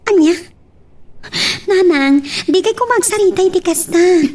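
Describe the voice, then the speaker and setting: Woman acting a high-voiced, earnest young daughter. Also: expressive, a little slow, darkish tone. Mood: pleading. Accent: Ilocano. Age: young adult. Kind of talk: Ilocano radio-style drama acting.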